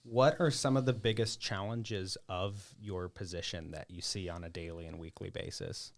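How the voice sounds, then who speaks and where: Informal American man with a lowish voice. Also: fairly even intonation, moderate pace, vocal fry. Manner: informal, joking, self-deprecating. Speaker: podcast host. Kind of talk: casual interview chat.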